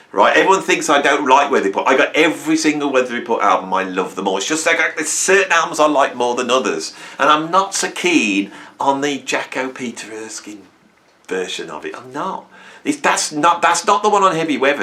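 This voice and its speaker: Bright-voiced man, rambling fan talk. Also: medium pitch. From Britain. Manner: enthusiastic, self-mocking, rambling with restarts. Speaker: music channel host. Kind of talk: casual monologue to camera.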